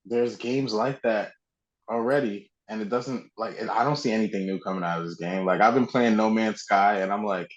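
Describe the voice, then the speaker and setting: A man's voice: fairly low pitch, steady tone, normal speed, muffled recording. A man co-hosting a podcast, chatting informally and conversationally about video games. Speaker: podcast co-host. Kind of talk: casual video game discussion.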